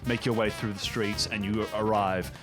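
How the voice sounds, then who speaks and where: A man narrating an improvised comedy tabletop game as game master, halting and animated. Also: fairly low pitch, music in the background.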